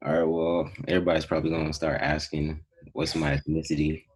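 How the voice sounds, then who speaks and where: A young man from Houston talks as a podcast guest in a very deep, husky voice. He keeps things relaxed and slangy, and lets his phrases trail off. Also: fast talker, poor audio. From Houston, Texas. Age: young adult. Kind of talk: casual chat.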